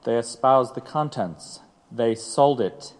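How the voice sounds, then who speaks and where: A male actor plays an impatient judge in a historical courtroom drama. His medium-pitched voice is stern, curt and commanding, set in a large reverberant hall. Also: slow, a little mellow, close to an everyday voice. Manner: curt, authoritative.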